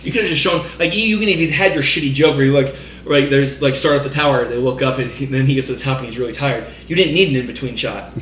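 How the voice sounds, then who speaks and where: A man's voice: mid-range voice, fast, fairly bright tone. A man co-hosting a casual movie podcast, ranting in an exasperated, emphatic and sweary way. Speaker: podcast co-host. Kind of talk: casual panel rant about movies. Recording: roomy echo, slight background noise.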